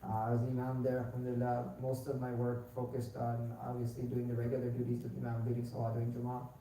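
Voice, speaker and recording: A young man born in Pakistan and raised in the United States answers interview questions about himself in a relaxed, rambling way, with many fillers and restarts. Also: medium pitch, fast talker. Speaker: imam candidate being interviewed. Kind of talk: casual spoken interview answers. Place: large echoey mosque hall. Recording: very echoey hall, distant mic, faint noise.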